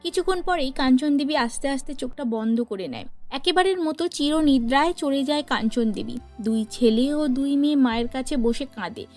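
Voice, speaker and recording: High-voiced woman narrating a story. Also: normal speed, a little mellow, faint music behind. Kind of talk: scripted cartoon story narration.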